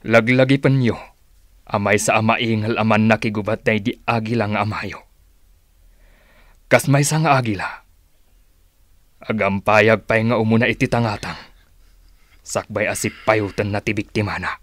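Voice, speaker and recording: A man acting a cautious, fatherly uncle in a radio drama, giving measured, admonishing advice. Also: lowish voice, fairly even intonation, a bit bright, dry recording, clean studio sound, natural voice. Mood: wise, warning. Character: cautious, fatherly older uncle advising younger men.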